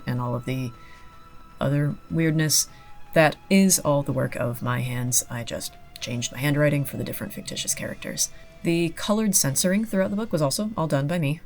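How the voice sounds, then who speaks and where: Mid-pitched voice, explanatory talk, faint music behind. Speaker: book maker. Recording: music under the voice.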